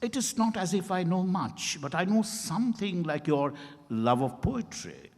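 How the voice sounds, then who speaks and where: Man acting probing doctor, swooping pitch, echoey hall. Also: lively intonation, full character voice. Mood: insistent. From India. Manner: deliberate, questioning, theatrical. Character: probing, courteous, insistent doctor of law. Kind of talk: dramatized stage reading. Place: event hall at a hotel. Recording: echoey hall with long reverb.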